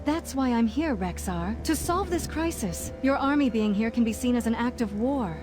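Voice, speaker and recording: Woman playing a high-voiced, resolute human leader. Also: expressive, moderate pace, lightly acted. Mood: composed. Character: earnest, resolute female human mage leader. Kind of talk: video game character acting. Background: music.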